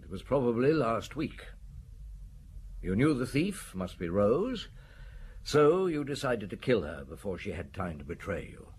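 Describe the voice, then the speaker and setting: A man's voice: on the low side, big pitch swings, unhurried, darkish tone. A man playing a stern, relentless chief inspector in an audio drama, blunt and commanding as he accuses. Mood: relentless, accusing. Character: stern, relentless male police chief inspector, menacing and sure. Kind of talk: audio drama acting, interrogation and narration. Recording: clearly noisy drama recording.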